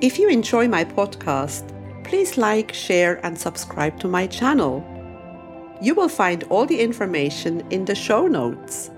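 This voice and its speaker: A woman's voice: mid-range voice, sing-song, unhurried, music in the background. A woman in her sixties from Switzerland, hosting a podcast and telling her memories in a reflective, nostalgic way. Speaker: podcast host. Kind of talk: memoir storytelling narration.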